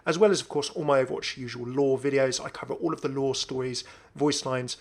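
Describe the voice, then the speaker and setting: A man's voice: medium pitch, fairly even intonation, a little fast. Chatty man hosting a gaming video. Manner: chatty, musing aloud, asks himself questions. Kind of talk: casual off-the-cuff commentary on game cosmetics.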